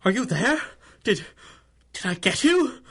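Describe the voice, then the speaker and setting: An actor playing a paranoid, gloating old millionaire miser in a 1930s-style radio drama, strained and halting. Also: male voice, very high-pitched, sing-song, a bit bright, husky, heavily acted. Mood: frantic, possessive. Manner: raving, halting, possessive.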